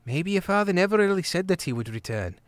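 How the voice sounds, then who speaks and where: A man's voice: high voice, very animated, quick pace, no room sound, pitched above the performer's own voice. A curious, worried young hedgehog maid from a storybook, as a male reader puts her on. Her voice rides well above his own, light and questioning, recorded close and dry. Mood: earnest.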